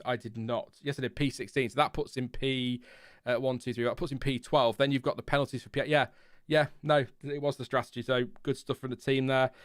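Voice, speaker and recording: A man's voice: medium pitch, clean tone, no echo, studio quality. A British man hosting a casual race reaction, thinking aloud and rambling with many fillers. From Britain. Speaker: host.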